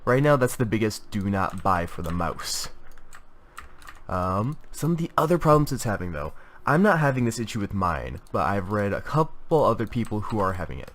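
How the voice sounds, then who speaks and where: A man's voice: on the low side, very animated, moderate pace, fairly bright tone, slight background noise. A young man with a stuffy voice from a cold, reviewing gaming gear in a casual, rambling way with many fillers and restarts. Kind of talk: casual product review talk.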